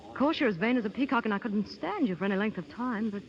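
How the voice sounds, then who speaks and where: A spirited young heroine played by a woman of a 1930s radio play, speaking at a rapid clip. She is tart-tongued yet loyal, on a dull, muffled vintage recording. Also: medium pitch, expressive, close to an everyday voice. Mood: apologetic, softening. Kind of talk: 1930s radio drama acting.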